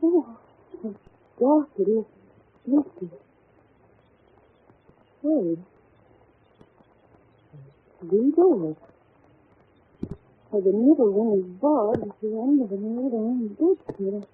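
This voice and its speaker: A woman's voice: fairly high pitch, expressive, takes it slowly, pauses often. A timid young woman employed in a sinister house, in vintage radio drama manner. She is polite, breathless and easily alarmed, and the sound is narrow and faintly noisy. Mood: uneasy, frightened. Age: young adult. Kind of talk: old-time radio drama acting.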